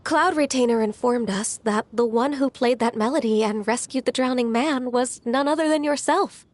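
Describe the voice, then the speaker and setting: An actress playing a gentle, earnest young woman in a video game, polite and soft-mannered. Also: fairly high pitch, moderate pace, lightly acted.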